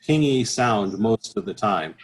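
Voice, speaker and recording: A man speaking as an interview guest, explaining things conversationally as a choral professional, with halting, repeated phrases. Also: lowish voice, lively intonation. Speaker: interview guest, professional bass singer and choir director.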